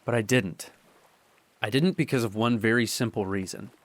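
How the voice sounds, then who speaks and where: A man's voice: lowish voice, moderate pace, no room sound, lightly acted. A young man playing a guilt-ridden teenage boy confessing and pleading in a radio drama. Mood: earnest. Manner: earnest confession, repeats denials.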